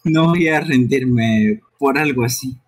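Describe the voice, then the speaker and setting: Man as a slow-spoken, timid little creature. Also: medium pitch, breathy edge. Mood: determined. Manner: earnest, apologetic. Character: timid, earnest young fox-like creature, self-doubting but determined. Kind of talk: live amateur game dubbing.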